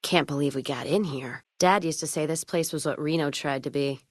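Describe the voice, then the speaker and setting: A woman's voice: low voice, a little fast, no echo. A woman playing a blunt, hard-drinking, foul-mouthed wasteland companion in a video game, wry and wary, with clipped, folksy phrasing. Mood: sardonic.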